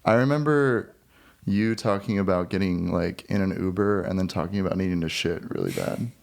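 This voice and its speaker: A man with a fairly low voice talks as a guest on a podcast. His delivery is casual and understated, in loose everyday conversation. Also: very animated, brisk, gravelly. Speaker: podcast guest and crew member. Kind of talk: casual chat.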